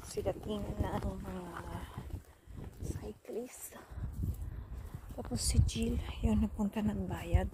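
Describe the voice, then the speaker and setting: A woman's voice: mid-range voice, slow pace, pauses often, very noisy. A woman with a Filipino accent chatting casually and unscripted as she narrates a travel vlog. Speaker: travel vlogger. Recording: loud music behind the voice. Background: music.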